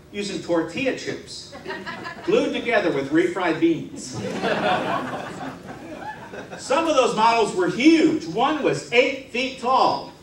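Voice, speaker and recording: A man telling a comic tall tale on stage in a theatrical, mock-serious way with dramatic pauses. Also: high-pitched. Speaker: humorous contest speaker. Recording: roomy hall, distant mic, faint noise.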